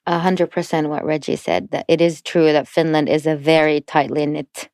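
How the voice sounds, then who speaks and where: Low, smooth-voiced woman explaining. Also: fairly even intonation, moderate pace, darkish tone, dry recording, clean studio sound. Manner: fluent and explanatory, light laughs between words. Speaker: podcast guest, entrepreneurship network representative. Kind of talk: casual podcast conversation.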